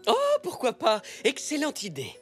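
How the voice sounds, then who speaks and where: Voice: sits high, wildly swooping pitch, a little slow, fairly bright tone, soft background music, full character voice. A performer voicing an enthusiastic, florid grown-up zebra customer in a French children's cartoon.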